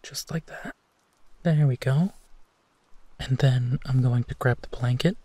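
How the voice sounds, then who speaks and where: Soft, husky, slow-talking gentle werewolf boyfriend, acted by a man. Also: medium pitch, dry recording, close to an everyday voice. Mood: tender, willing. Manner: soft, soothing, hesitant pauses, often drops to whispering. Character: gentle protective male werewolf boyfriend comforting his partner. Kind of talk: intimate roleplay audio, sleep-aid.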